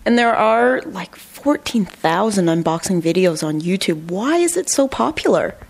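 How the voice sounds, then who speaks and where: A woman's voice: mid-range voice, very animated, moderate pace, gravelly. Female reporter, mid-range voice, friendly and conversational questions. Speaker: radio reporter interviewing. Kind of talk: interview questions.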